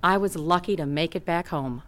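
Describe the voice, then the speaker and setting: A woman's voice: lowish voice, normal speed, noisy background, natural voice. An actress narrating as a tough, candid woman recounting a troubled childhood in a scripted radio drama. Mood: matter-of-fact.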